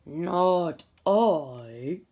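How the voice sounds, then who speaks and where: A woman's voice: fairly low pitch, lively intonation, drawn-out words, dark tone, exaggerated voice. A woman voicing a sleepy, lazy dog in a storybook, refusing curtly. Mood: unwilling.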